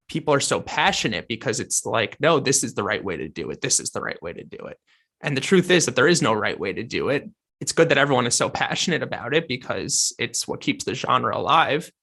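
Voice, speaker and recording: A man's voice: mid-range voice, animated, moderate pace, creaky, sharp tone. A man hosting a casual podcast, musing thoughtfully with hesitant restarts. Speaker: podcast host.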